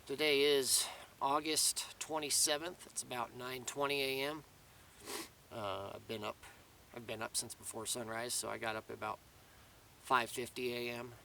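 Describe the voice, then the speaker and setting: A man's voice: medium pitch, fairly even intonation, moderate pace, creaky, distant mic, noisy background. A man giving a casual, rambling video update outdoors in the mountains, restarting his sentences often. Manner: rambling, many restarts and fillers. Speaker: vlogger. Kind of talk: casual spoken update to camera.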